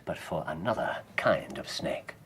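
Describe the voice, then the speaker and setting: An actor playing a theatrical, teasing English detective in a radio drama, husky, savouring his pauses and flourishes. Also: a bass voice, expressive, a bit bright, full character voice. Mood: relishing storytelling. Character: theatrical, teasing English male detective, self-satisfied and playful.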